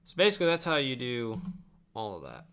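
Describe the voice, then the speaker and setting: A man's voice: mid-range voice, wildly swooping pitch, fairly quick, fairly bright tone. A young man giving casual, offhand livestream commentary while he works at a computer, often muttering to himself. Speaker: video editor and streamer. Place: indoor room at a computer desk. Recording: clear background clicking and typing noise. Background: mouse clicks and keyboard typing.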